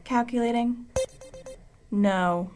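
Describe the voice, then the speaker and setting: A spaceship computer voiced by a woman in a comic sci-fi radio play. The voice is fairly high, impassive and clipped, reciting data in a measured, matter-of-fact way. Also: music in the background.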